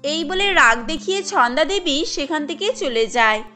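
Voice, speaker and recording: Woman narrating a cartoon story, high bright voice, light music behind. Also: lively intonation, normal speed.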